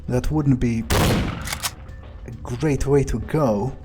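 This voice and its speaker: A man's voice: mid-range voice, slow, dark tone. A man roleplaying through a video game in character, thinking aloud in a sardonic way with plenty of swearing. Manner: sardonic, muttering, heavy swearing. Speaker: gameplay streamer roleplaying in character. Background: game music.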